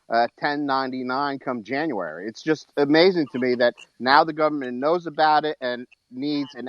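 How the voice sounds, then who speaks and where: Halting middle-aged caller, dull audio. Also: male voice, medium pitch, no room sound. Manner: halting, full of fillers and restarts. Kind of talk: call-in question on a live audio chat.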